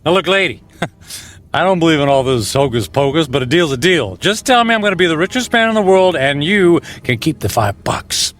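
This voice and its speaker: An actor playing a brash, skeptical workaholic in a radio drama, with a wry, flippant way of talking. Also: male voice, a little high, expressive, a little fast, fairly bright tone. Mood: mocking, impatient. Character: skeptical, sarcastic workaholic man, brash and mocking. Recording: music behind the voice.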